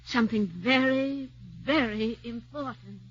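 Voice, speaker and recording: A woman voicing a fond, lonely elderly rich woman who speaks gently and slowly, in a 1940s radio drama on a muffled old broadcast. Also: on the high side, breathy edge. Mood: doting. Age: older. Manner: gentle, doting, slow.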